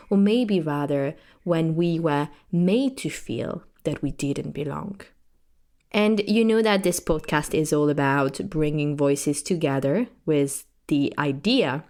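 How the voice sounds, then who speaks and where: Low-voiced woman hosting a podcast. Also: a little mellow. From France. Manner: earnest, with frequent "you know" and "um". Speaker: podcast host. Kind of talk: reflective podcast monologue.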